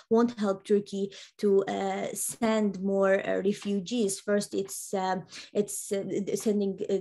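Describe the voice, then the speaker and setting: A woman whose first language is Arabic, giving policy analysis as a panel speaker in a halting, hesitant way. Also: medium pitch, a little mellow. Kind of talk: spoken policy analysis at a conference.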